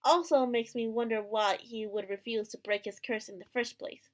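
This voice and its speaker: A woman's voice: a little high, steady tone, moderate pace. A woman hosting a gaming video, reading a visual novel's narration aloud expressively.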